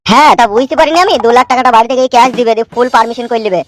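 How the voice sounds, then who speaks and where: A man's voice: very high voice, racing, a bit bright, dry recording, faint music behind. A man voicing a crude, gossipy sidekick of a village politician in a cartoon. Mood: gossiping, envious. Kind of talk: comic cartoon dialogue.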